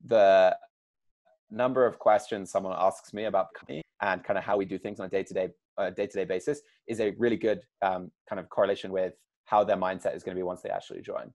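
A man in his late twenties with an English accent, a startup co-founder answering interview questions in a measured way with fillers and restarts. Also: deep voice, steady tone, darkish tone. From England. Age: 20s.

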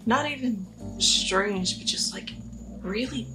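A woman playing a blunt, wary female traveler who talks in a halting, informal way. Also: on the high side, music in the background, poor audio. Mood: uneasy. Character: blunt, wary, hungry female ship passenger.

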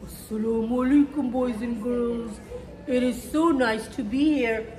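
High-pitched, slow-paced woman storytime reader. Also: steady tone, a little mellow. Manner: simple, encouraging teacherly address to young children. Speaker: children's storytime reader and teacher. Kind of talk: read-aloud of a picture book for children. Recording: roomy echo with faint background noise.

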